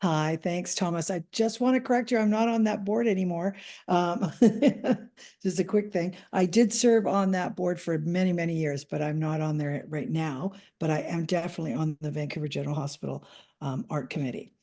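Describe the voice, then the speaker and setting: A woman's voice: lowish voice, normal speed, dark tone. A Canadian woman, a podcast guest, answering interview questions in a chatty, hesitant way with many ums and restarts. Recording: audio podcast remote recording.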